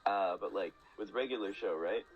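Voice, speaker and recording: A man's voice: lowish voice, normal speed, dull audio. A man chatting casually as a podcast guest, explaining things in an enthusiastic, rambling way with lots of "like". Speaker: guest speaker.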